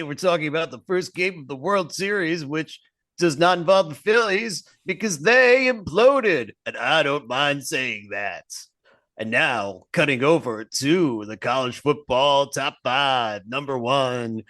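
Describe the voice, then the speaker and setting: A man's voice: medium pitch, a bit bright. A man co-hosting a podcast, joking wryly in the style of a mock sports report. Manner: wry, joking. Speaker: podcast co-host.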